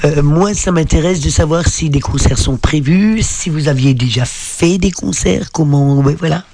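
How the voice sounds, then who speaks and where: A man's voice: on the high side, moderate pace, warm tone. A man hosting a radio interview, asking long, rambling questions full of fillers. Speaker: radio host.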